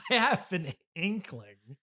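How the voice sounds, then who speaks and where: A man hosting a comedy interview, hesitant and halting, politely skeptical as he asks questions. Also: sits high, sing-song, pushed and bright. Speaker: interviewer, podcast host. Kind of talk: improvised deadpan comedy interview.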